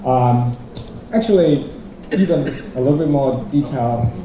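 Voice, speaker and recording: A man's voice: medium pitch, a little slow, warm tone, slight background noise. A middle-aged man from Hong Kong giving a rambling, self-deprecating conference talk, restarting his sentences often. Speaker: guest speaker. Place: large lecture hall. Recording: echoey hall from far off.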